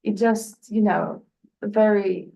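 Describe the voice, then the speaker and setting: Woman from ukraine, gravelly voice, quick chatty bursts, lots of like and you know. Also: mid-range voice, steady tone, mellow. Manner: fluent, quick bursts, many fillers. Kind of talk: conversational discussion.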